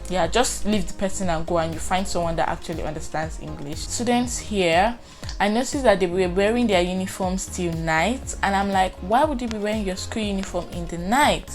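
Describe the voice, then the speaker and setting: A woman's voice: mid-range voice, moderate pace, soft background music. A young woman from Nigeria with a Nigerian accent, chatting expressively in a casual vlog and acting out her reactions. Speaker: vlogger.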